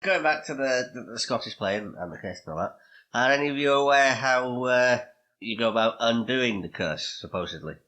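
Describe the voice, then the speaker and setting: A man with a bright, crisp-edged voice at a medium pitch. He talks as a podcast co-host, choosing slightly formal wording in a measured, conversational way. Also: expressive. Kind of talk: casual podcast discussion.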